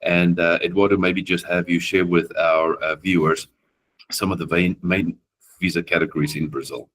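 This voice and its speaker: A man, an attorney whose first language is Afrikaans, hosting a legal webinar and speaking haltingly with many fillers. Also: sits low, steady tone, fairly bright tone, a little hiss or hum. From South Africa. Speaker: immigration attorney, webinar host. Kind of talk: webinar presentation.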